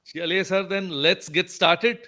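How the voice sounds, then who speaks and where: A man's voice: high voice, steady tone, a little slow, pushed and bright. A man, hosting a podcast and politely inviting his guest to share his journey. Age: 50s. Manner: polite, courteous interviewer. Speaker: podcast host and counselor.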